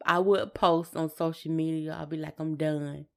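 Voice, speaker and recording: A woman's voice: sits low, steady tone, moderate pace, gravelly, clean tone. An American woman chatting informally as a podcast co-host, often chiming in with short agreeing replies. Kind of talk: casual conversational chat between spouses.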